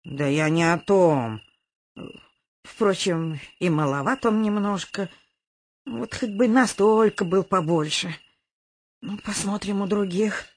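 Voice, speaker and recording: Актриса играет властную, ворчливую пожилую хозяйку дома в театральной манере комедийного радиоспектакля. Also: female voice, mid-range voice, slow, husky. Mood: grumbling, bossy. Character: bossy, grumbling old lady of the house. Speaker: actress in a radio comedy play. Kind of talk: theatrical radio comedy acting.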